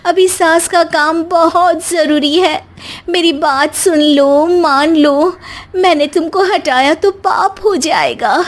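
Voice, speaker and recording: A woman puts on a naive young daughter-in-law for a comic bedtime tale. She is polite, earnest and soft-hearted, pleading in a very high voice. Each line comes slowly and innocently. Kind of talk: acted Hindi comic story dialogue.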